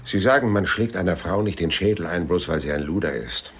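Very deep gravelly private detective. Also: male voice, fairly quick. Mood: probing, dry. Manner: dry, matter-of-fact, terse. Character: laconic, probing male private detective narrating his case. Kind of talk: German radio crime drama, first-person narration.

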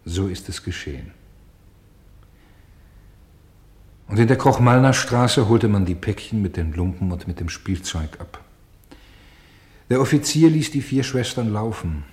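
An actor playing a grave, sorrowful storyteller in a radio play, with solemn and deliberate phrasing. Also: male voice, lowish voice, expressive, darkish tone, close to an everyday voice. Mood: somber. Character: grave, sorrowful male storyteller bearing witness to tragedy.

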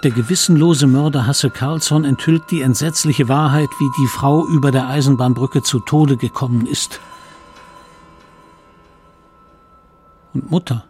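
A man's voice: mid-range voice, fairly even intonation, normal speed, few pauses, darkish tone, faint music behind, lightly acted. A man acting as a reflective, confessional narrator who recalls his guilty boyhood in a radio drama. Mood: anxious, brooding. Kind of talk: scripted first-person radio drama narration.

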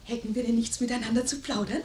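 A woman's voice: on the high side, steady tone, fast talker, a little mellow, a little hiss or hum, lightly acted. An actress playing a chatty, cheeky young lady's maid with coquettish, theatrical delivery in a 1950s radio drama. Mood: pert, chatty. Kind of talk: 1950s German radio play acting. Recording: echoey vintage 1950s radio studio recording.

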